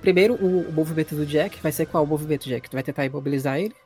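Higher-pitched Brazilian man as game master. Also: rapid-fire, darkish tone, breathy edge, faint music behind. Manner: colloquial, explanatory. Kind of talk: narrating a tabletop role-playing game.

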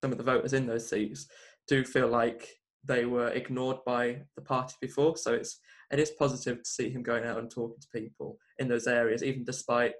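Mid-pitched man discussing politics. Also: little pitch movement, fairly quick. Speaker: podcast panellist.

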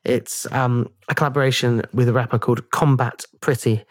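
A man co-hosts a music podcast in easy, casual chat, poking fun at himself and laughing often. His voice sits at a middle pitch, recorded close and dry with no room sound. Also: steady tone, brisk, dry recording. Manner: self-deprecating, laughs often. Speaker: podcast co-host. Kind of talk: casual radio-style chat.

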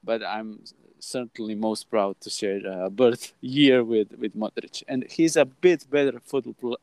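Croatian man, 30s, podcast host, halting non-native English, mid pitch, dry close mic. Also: darkish tone, no echo. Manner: halting, many restarts and fillers. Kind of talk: casual podcast chat.

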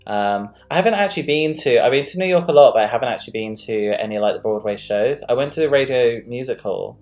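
A woman's voice: extremely low pitch, expressive, fast, a little mellow. Chatty woman host. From London. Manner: chatty, lots of fillers. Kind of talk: casual live-stream chat.

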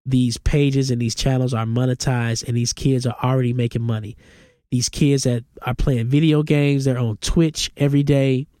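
A man's voice: medium pitch, level tone, normal speed, warm tone, no echo, clean studio sound. A congested man in his forties hosting a solo podcast, giving emphatic, opinionated commentary. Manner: opinionated, emphatic, rising to exclamations. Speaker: podcast host.

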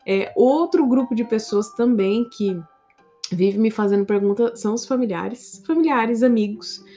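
A woman English teacher with a Brazilian Portuguese accent, hosting a casual solo podcast in a chatty, animated way and often speaking straight to her listeners. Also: a little high, unhurried, soft background music.

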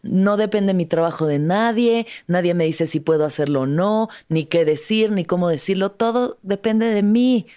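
A woman's voice: medium pitch, moderate pace, few pauses, clean tone. A woman from Mexico, a stand-up comedian and actress, telling her story in a casual conversation. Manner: lively storyteller, acts out her own past words.

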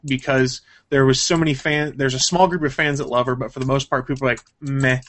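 Male co-host, mid-pitched, chatty and opinionated, for casual gaming and comics news chat. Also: fairly even intonation, brisk, fairly bright tone. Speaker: show co-host.

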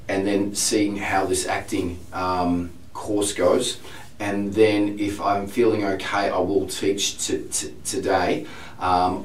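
Male voice coach, congested and a bit hoarse, lowish voice, frank diary delivery, roomy room sound. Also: a little hiss or hum. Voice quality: slightly hoarse, strained. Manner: candid, detailed, unhurried self-report. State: congested, recovering from laryngitis. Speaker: voice teacher. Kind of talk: video diary. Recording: roomy, distant, piano in background.

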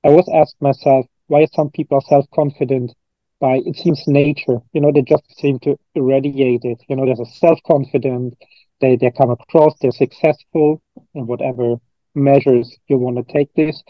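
A man's voice: medium pitch, steady tone, moderate pace, no room sound, dull audio. A man from Germany, a coach and trainer answering interview questions in a thoughtful, rambling way, often restarting his sentences.

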